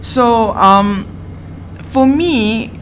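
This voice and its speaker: A woman's voice: a little high, very slow, poor audio. A woman hosting a casual book discussion, talking informally and asking inquisitive questions. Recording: faint background noise.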